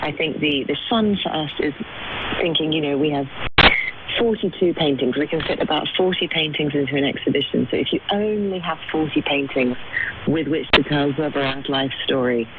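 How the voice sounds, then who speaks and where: A woman's voice: deep voice, fairly quick. A woman speaking as an art historian and curator in an interview, explaining things articulately with emphatic descriptive words, heard over shortwave radio. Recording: tinny shortwave radio, loud static noise. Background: loud shortwave static and clicks.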